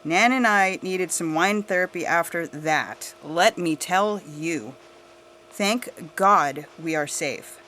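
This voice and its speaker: Slow-talking indignant suburban busybody mother played by a woman. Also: fairly low pitch, bright, forceful tone, a little hiss or hum. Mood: smug. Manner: gossipy, indignant. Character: self-righteous suburban busybody mother, suspicious and oblivious. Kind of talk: scripted audio fiction monologue.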